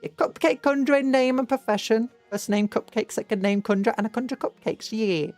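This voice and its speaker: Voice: medium pitch, brisk, darkish tone, dry recording. British streamer rambling over faint music, close-miked. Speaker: VTuber livestreamer. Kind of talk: casual livestream chat with viewers. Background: background music.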